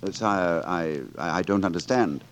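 An actor playing a gallant, witty poet-rogue turned lord constable, with courtly, theatrical delivery in 1940s radio drama style. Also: male voice, sits low, normal speed, slight background noise, close to an everyday voice. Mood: urgent, uneasy. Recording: vintage 1940s radio broadcast, orchestral music.

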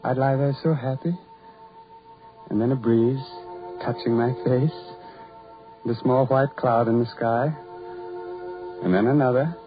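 Man acting a slow, tormented, ugly outcast. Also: medium pitch, fairly even intonation, soft background music, close to an everyday voice. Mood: dreamy. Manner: halting, broken phrases, anguished introspection. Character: tormented, lonely ugly sideshow man, brooding and dreamy. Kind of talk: 1940s radio horror monologue acting. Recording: muffled vintage 1940s radio recording.

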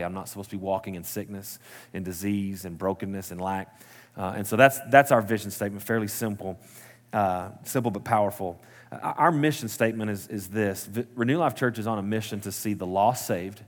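A man's voice: on the low side, in a large hall. A man, preaching a sermon in a casual, earnest way that restarts and corrects itself. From Texas. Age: 30s. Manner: conversational, self-correcting, earnest. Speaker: pastor. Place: church sanctuary.

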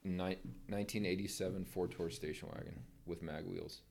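Middle-aged veteran guest, very low voice, measured and firm. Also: male voice, fairly even intonation, creaky, darkish tone, a little hiss or hum. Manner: measured, plain-spoken, firm opinions. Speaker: podcast guest, military veteran. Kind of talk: casual interview chat.

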